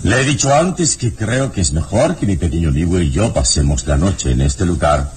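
An actor playing a calm, daring hero who talks in a measured, commanding way, in an old radio drama. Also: male voice, sits low. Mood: calm, firm. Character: serene, heroic man, calm and daring. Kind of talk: 1960s radio drama acting. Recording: noisy old radio recording.

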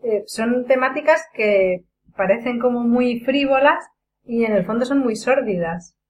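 A woman's voice: fairly high pitch, a little slow. A woman with a Castilian Spanish accent chatting casually in a group discussion. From Spain. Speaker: podcast panelist. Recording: faint hum and background noise.

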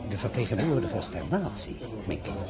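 A dignified old general. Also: male voice, fairly low pitch, very animated, a little fast, over a noisy crowd. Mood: solemn. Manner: formal and solemn. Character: elderly French general, dignified and fatherly. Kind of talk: radio play acting.

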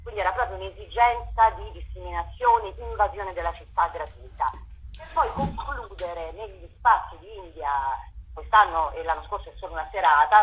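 A woman festival curator, a guest on a radio interview, explaining her work at length in a thoughtful way over a phone line. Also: fairly low pitch. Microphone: telephone.

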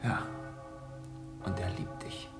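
An actor playing an obliging, loyal young nephew in a radio drama, earnest and eager. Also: male voice, slow pace, roomy sound, loud music behind the voice, lightly acted. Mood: sincere.

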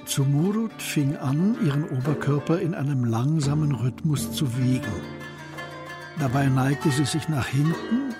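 A man narrating a radio play as scripted literary storytelling, in a measured, descriptive manner. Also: fairly high pitch. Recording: studio narration over music.

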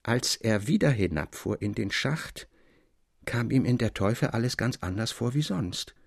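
Gravelly male literary narrator, dry sound. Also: medium pitch, animated, a little slow, no room sound. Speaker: narrator, reader of literary tale. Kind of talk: expressive literary audiobook narration. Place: dry studio.

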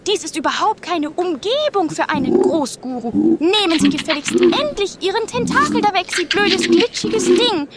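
A woman playing a haughty, quick-tempered lady guru diplomat in a comedy radio play, imperious and scolding. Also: very high voice, expressive, heavy background noise. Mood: indignant.

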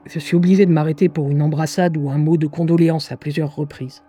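A woman's voice: deep voice, fairly even intonation, quick pace, warm tone, faint music behind, natural voice. An actress playing a weary, sardonic woman who narrates her diary in a confiding way in a French radio drama. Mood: weary, wry. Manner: wry, exasperated, confiding.

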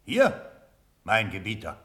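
An actor playing a loyal old cavalry colonel in a classical radio drama, earnest and formal, deferential yet stubborn. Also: male voice, fairly low pitch, huge pitch swings, takes it slowly, sharp tone, exaggerated voice. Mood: respectful. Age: older. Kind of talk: classical German stage verse radio drama.

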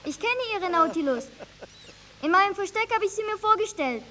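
A woman's voice: very high voice, expressive, moderate pace, bright, forceful tone, slight background noise, heavily acted. A woman playing a dreamy, eager boy longing for sea adventure in a German radio drama. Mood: dreamy, stubborn.

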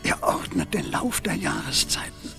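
An actress playing a solemn, mysterious guide in a radio play, speaking in a portentous, breathy half-whisper. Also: female voice, normal speed, natural voice. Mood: solemn, ominous. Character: mysterious, solemn wise guide warning young heroes. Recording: music under the voice.

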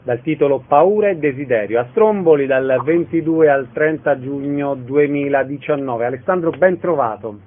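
A man's voice: mid-range voice, moderate pace, few pauses. A man hosting a radio interview, presenting his guest and putting questions to him. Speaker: radio host interviewing a festival director. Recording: phone-like narrow band radio broadcast.

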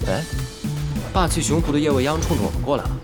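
A man's voice: fairly high pitch, animated, fast, close to an everyday voice. A man playing a blunt guild gamer reporting to his team in an audio drama. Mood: alert. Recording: loud music under the dialogue.

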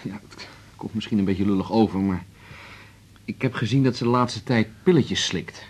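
A man's voice: on the low side, normal speed, a little mellow, husky. An actor playing a worried, hesitant man in a radio drama, often correcting himself. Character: worried, hesitant male partner of a young doctor.

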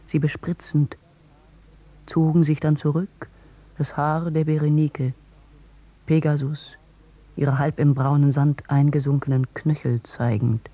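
Dark-toned, higher-pitched man reading prose. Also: level tone. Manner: measured, in short separate phrases. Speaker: literary reader. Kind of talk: scripted literary reading in an audio drama.

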